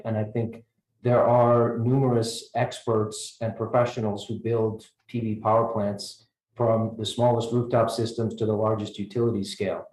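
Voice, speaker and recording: American guy on a panel, thinks out loud, restarts a lot, echoey room. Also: on the low side. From United States. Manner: hesitant, repeats words while thinking. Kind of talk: panel discussion. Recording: roomy echo.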